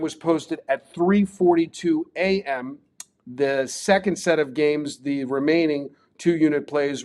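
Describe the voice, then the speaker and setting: A man's voice: a little high, darkish tone. A man with a Baltimore accent hosting a casual sports betting talk show, chatty and promotional. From Baltimore, Maryland. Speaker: show host.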